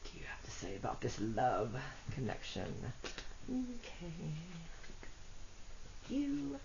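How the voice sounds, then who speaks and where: A woman with a congested, rough voice gives a chatty tarot reading, talking to her cards and her viewers. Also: rumbling low, wildly swooping pitch, slow pace. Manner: chatty, self-deprecating, talks to cards and viewers. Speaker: tarot reader. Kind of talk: casual solo tarot reading. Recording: dull lo-fi audio with faint noise.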